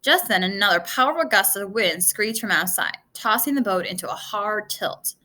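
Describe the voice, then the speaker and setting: A woman reading a children's adventure novel aloud in an expressive way, occasionally stumbling over a word. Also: mid-range voice, normal speed. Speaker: storybook reader.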